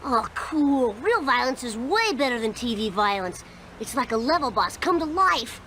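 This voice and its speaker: A woman voicing a mischievous ten-year-old boy in a cartoon game, raspy, brash and wisecracking. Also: a little high, big pitch swings, moderate pace, sharp tone, slight background noise. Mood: cocky, brash.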